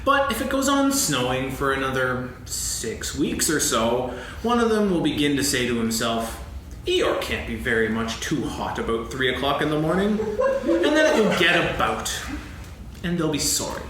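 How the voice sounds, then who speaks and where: A man playing a gloomy, sarcastic, self-pitying old donkey in a staged reading, mournful and deadpan with halting delivery. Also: medium pitch. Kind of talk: staged reading of a children's story play. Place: on stage in a hall. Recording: very echoey hall, faint noise, archival recording.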